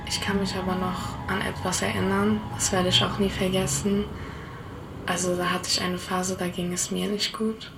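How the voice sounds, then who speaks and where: A woman's voice: mid-range voice, little pitch movement, moderate pace, creaky, noisy background. A woman giving a personal recollection in plain spoken words.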